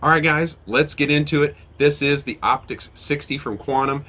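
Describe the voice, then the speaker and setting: A man's voice: a little high, moderate pace, bright, forceful tone, soft background music. A man in his forties, stuffy and nasal from a head cold, reviewing fishing gear in a chatty step-by-step way, recorded close on an outdoor deck. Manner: chatty, explains step by step with fillers. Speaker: fishing channel host. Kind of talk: casual product review.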